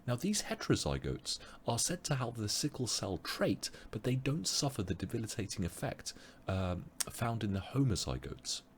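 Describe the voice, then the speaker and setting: A man teaching an online biology revision webinar, explaining step by step with frequent pauses, his voice a little strained as he loses it. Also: on the low side, sing-song, slight background noise. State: losing his voice. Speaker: biology tutor.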